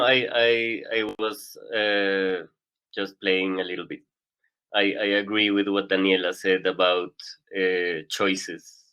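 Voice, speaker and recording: Slow, bright-voiced man on dull audio. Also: lowish voice, smooth. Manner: slow, halting, searching for words. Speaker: improviser, panelist. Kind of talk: online panel discussion.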